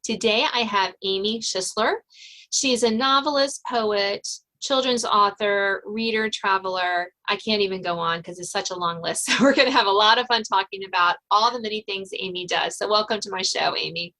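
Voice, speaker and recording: Female podcast host, crisp bright tone, chatty interview style, rephrases mid-thought. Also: medium pitch, normal speed, rough recording. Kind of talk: conversational interview hosting.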